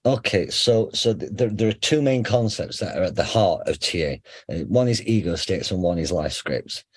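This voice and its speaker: A middle-aged man with a faint Sheffield accent, a psychotherapist explaining ideas in a relaxed interview, full of examples, fillers and restarts. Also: low-pitched, moderate pace, husky. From England. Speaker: psychotherapist guest.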